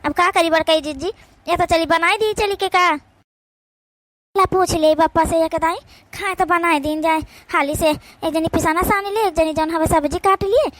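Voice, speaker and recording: A very high, sharp-toned village daughter-in-law in an amateur cartoon, performed by a woman, with an Awadhi accent. She frets plaintively and soft-heartedly, recorded close and dry. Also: normal speed, dry recording. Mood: anxious.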